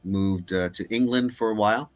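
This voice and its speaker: A middle-aged man talking casually as an interview guest, pausing often with fillers and restarts. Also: on the low side. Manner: hesitant, with many uh fillers and restarts. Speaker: guest filmmaker.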